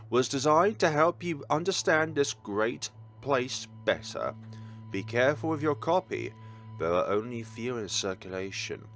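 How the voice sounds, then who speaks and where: A man reads game logs and notes aloud with a dramatic, theatrical delivery. His pitch swings widely from line to line, and he breaks off now and then for a dry comment or to fix a stumble. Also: fairly bright tone. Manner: dramatic reading broken by wry comments and self-corrections. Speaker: gaming video creator. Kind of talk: reading game texts aloud with asides.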